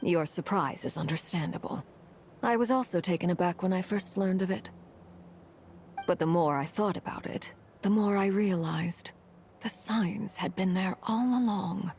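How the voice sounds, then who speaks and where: Woman playing a low, composed fire-wielding commander. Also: darkish tone, a little hiss or hum, natural voice. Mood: solemn, reflective. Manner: measured, formal, solemn. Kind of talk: dramatic video game voice acting.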